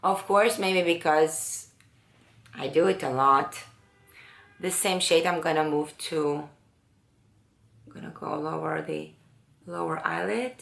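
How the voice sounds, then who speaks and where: A woman with a low, raspy voice chatting slowly through a makeup tutorial, pausing often. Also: reverberant, soft background music. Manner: unhurried, musing, with many pauses and fillers. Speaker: beauty vlogger. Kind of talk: casual makeup tutorial chat.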